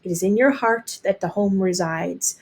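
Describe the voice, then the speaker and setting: A woman voicing a warm-hearted, hopeful Irish immigrant mother in a storybook, earnest and tender. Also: medium pitch, moderate pace, breathy edge, poor audio.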